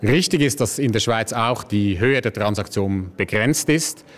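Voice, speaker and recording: A man's voice: fairly low pitch, measured pace. A man giving formal explanations as a government agency representative in an interview.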